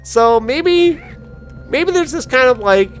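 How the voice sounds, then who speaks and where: Animated, unhurried gaming commentator, rambling along. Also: sits high. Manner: rambling, halting storytelling with quoted asides. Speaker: gaming video host. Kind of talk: casual gameplay commentary with personal anecdotes. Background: game music.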